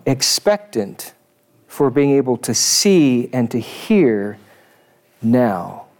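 A man preaching a sermon in a thoughtful, measured way, pausing to read quotations aloud. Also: mid-range voice, darkish tone.

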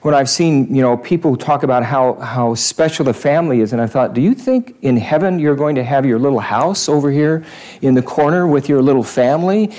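A man's voice: mid-range voice, a little fast, a little mellow. A male pastor giving a conversational sermon in church, repeating phrases for emphasis.

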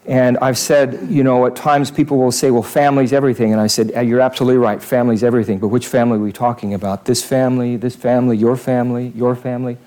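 A man's voice: mid-range voice, little pitch movement, fast talker, few pauses, warm tone. A male pastor giving a conversational sermon in church, repeating phrases for emphasis.

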